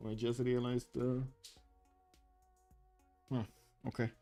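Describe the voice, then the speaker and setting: A man's voice: medium pitch, monotone, slow pace, dark tone, faint music behind. A man from Romania with a Romanian accent, giving live commentary on a strategy game as a caster and answering chat, with many ums and uhs. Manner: filler-heavy, reads chat aloud. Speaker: esports caster.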